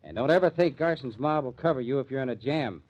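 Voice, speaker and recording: A man's voice: mid-range voice, lively intonation, fast talker, lightly acted. Casting: male actor as tough, sardonic American police detective, assured slangy delivery, vintage radio crime serial, muffled recording. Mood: sardonic, explaining. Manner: wisecracking, hard-boiled slang. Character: confident, wry, tough police lieutenant. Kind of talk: old-time radio crime drama acting.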